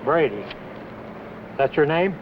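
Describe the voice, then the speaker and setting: An actor playing a brusque, official-sounding traffic cop in 1950s film noir style. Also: male voice, a little high, animated, slow pace, phone-quality sound.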